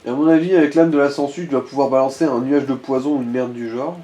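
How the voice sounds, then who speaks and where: A man's voice: mid-range voice, fairly even intonation, fast, mellow. A man giving casual, blunt gameplay commentary and tips to the player, peppered with crude slang and swearing. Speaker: let's play co-commentator guiding the player.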